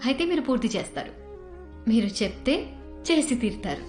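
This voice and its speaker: A woman's voice: a little high, very animated, fairly quick, faint music behind. A woman playing a devoted young wife in a sentimental film drama, speaking earnestly and tenderly. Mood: earnest, worried. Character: devoted, anxious, proud young wife of an agent. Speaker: film actor. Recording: echoey film audio, soft background score.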